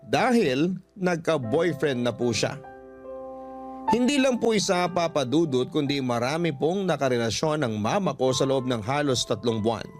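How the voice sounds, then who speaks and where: A man with a medium-pitched voice narrates a listener's letter in the first person as a radio storyteller. His delivery is earnest, polite and dramatic in the storytelling sense, with soft music underneath. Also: lively intonation, normal speed. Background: background music.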